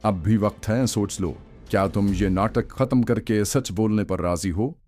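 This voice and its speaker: A man's voice: on the low side, darkish tone, close to an everyday voice. A man voicing a cold interrogator in an audio story, grave and mocking as he delivers threats. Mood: taunting. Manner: grave, icy, sardonic, unhurried threats. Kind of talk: audiobook villain acting. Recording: faint music bed under voice.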